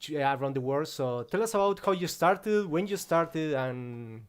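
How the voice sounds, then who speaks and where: A man's voice: a little high, animated, smooth. Upbeat chatty male livestream host. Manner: upbeat, chatty, quick agreeing interjections. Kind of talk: casual livestream interview.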